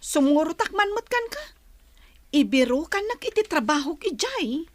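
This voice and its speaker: A woman's voice: very high voice, sing-song, moderate pace, no room sound. A woman with an Ilocano accent playing a defiant, selfish young wife who whines and argues in a radio drama. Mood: pleading, scheming. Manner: whiny, argumentative.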